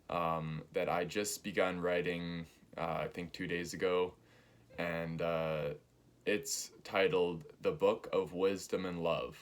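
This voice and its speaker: A man in his early twenties giving a spiritual talk, slow and halting, with many pauses and fillers. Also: deep voice. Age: 20s. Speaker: spiritual teacher.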